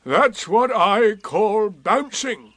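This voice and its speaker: A man voicing a gloomy, sardonic old male donkey with a slow, drawn-out, mournful delivery in a storybook reading. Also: high-pitched, lively intonation, a bit bright, no room sound, voice pushed up, exaggerated voice.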